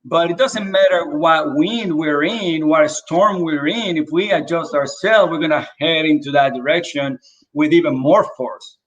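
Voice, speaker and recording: A man's voice: on the high side, measured pace, fairly bright tone, muffled recording. A man from the Dominican Republic, a real estate agent and interview guest, telling his story animatedly and with many fillers over a video call.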